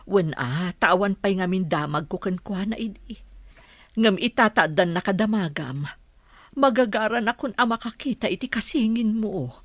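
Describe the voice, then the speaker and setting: A woman's voice: medium pitch, moderate pace, no room sound, lightly acted. A woman playing an anxious older mother in an Ilocano radio drama, speaking plaintively with sighs and earnest longing.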